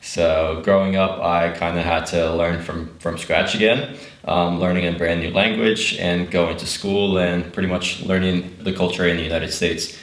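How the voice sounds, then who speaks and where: Deep, flat-voiced young man in an echoey room. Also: normal speed. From Ukraine. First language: Russian. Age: 20s. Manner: relaxed, rambling with fillers and restarts. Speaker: guest, travel YouTuber. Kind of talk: casual conversational interview.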